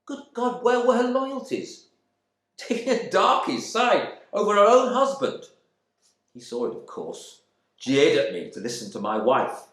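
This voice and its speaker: In an echoey room, a male actor plays a stuffy, indignant husband home from the war delivers a dramatic monologue. His is full of pompous, narrow-minded affront, and his pitch swings widely between muttering and outburst. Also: big pitch swings, unhurried, fairly bright tone, full character voice. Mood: wounded fury. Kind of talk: dramatic monologue reading from a novel.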